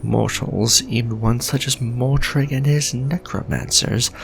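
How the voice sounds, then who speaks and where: Slow, creaky-voiced vampire lord played by a man. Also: mid-range voice, soft background music. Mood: imperious conviction. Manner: formal, archaic, grandiose, dramatic deliberate phrasing. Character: proud ancient vampire lord, courtly, menacing, devoted lover. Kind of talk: gothic romantic ASMR roleplay monologue.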